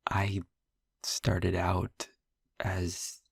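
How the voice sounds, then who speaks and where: Intimate audio RP: male actor as gentle, wry young vampire boyfriend, husky near-whisper, slow halting delivery, close dry sound. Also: sits low, monotone, no room sound, heavily acted. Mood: confiding, wry. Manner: halting, pausing, wry asides. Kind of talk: intimate audio roleplay, softly confiding to the listener.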